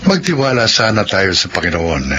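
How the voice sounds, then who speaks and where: A man announcing a radio drama in a dramatic, solemn scripted style with deliberate pauses. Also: fairly low pitch, sing-song, sharp tone, a little hiss or hum. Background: prominent music.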